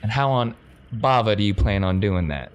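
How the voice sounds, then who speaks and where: A man's voice: lowish voice, animated, moderate pace, slight background noise, close to an everyday voice. A man voicing a shrewd, folksy crime boss who drawls and talks down to people in a tabletop game. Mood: challenging. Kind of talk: tabletop game role-play.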